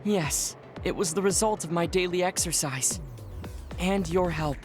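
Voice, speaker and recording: A woman voicing a video game character, a small, child-looking man decades older than he seems, with formal, measured, earnest diction. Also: on the low side, natural voice. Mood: thoughtful. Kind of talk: dubbed video game cutscene acting. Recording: music behind the voice.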